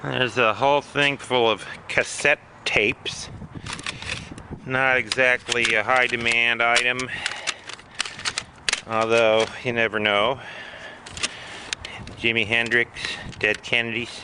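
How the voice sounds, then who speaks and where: A man talking casually and unscripted as a vlogger, listing items in a plainspoken, matter-of-fact way with pauses. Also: mid-range voice, flat delivery, background noise. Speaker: vlogger showing storage auction finds. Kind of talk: casual unscripted narration to camera.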